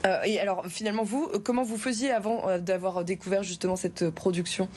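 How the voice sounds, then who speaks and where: A woman hosting a TV interview, asking guests questions with brisk prompts and fillers. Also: medium pitch, fairly even intonation, a little mellow, a little hiss or hum. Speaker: TV host, interviewer.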